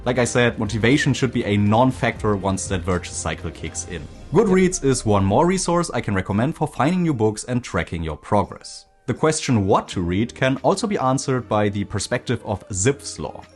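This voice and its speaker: A man narrating a scripted language-learning video in a clear, explanatory way. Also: medium pitch, expressive, normal speed, few pauses, soft background music.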